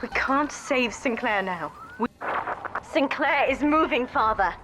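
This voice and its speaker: A woman's voice: on the high side, lively intonation, measured pace. A woman voicing an earnest, devoted teenage daughter in a video game, guiding her father, with noise behind her. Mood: urgent.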